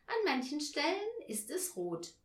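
A woman voicing an excitable, secretive little girl, eager and exclaiming, in a children's story. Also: high voice, a little slow, pitched above the performer's own voice, heavily acted. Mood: earnest, impatient. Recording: roomy echo.

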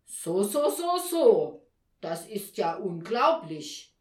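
Stern old Saint Nicholas played by a woman, loud emphatic questioning, schoolmasterly, storybook figure, roomy echo, very lively intonation. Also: a little slow. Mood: indignant. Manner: stern, booming, interrogating.

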